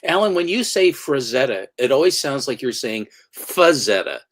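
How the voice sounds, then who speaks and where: A man co-hosting a casual livestream chat, reacting with quick, animated remarks. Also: mid-range voice.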